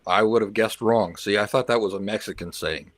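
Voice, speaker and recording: A man's voice: on the low side, fairly quick, no room sound. A man hosting a livestream, chatting casually with viewers and reacting to their comments, sometimes switching into learner's Spanish. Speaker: livestream host.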